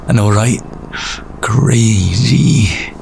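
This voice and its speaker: A man acting a lonely, grieving character in an audio drama, hushed and hesitant as he talks to himself and his pet. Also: on the low side, extremely slow, vocal fry, noisy background, full character voice. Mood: tender, sad.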